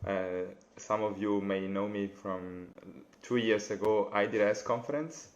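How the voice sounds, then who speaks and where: Young Italian male host, unhurried, hesitant delivery, muffled video-call sound. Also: lowish voice, steady tone. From Italy. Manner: hesitant, friendly, halting phrasing with many fillers. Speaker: interviewer, bassoonist. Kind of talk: live-streamed interview. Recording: dull lo-fi video call audio.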